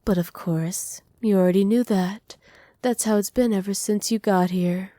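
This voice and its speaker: Soft, mellow doting witch, acted by a woman. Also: medium pitch, steady tone, normal speed, no echo, natural voice. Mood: smug, satisfied. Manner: soft, doting, teasing, often dropping to breathy whispers. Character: doting, possessive evil witch pampering her captive pet. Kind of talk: ASMR roleplay, intimate monologue to listener. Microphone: close microphone, dry.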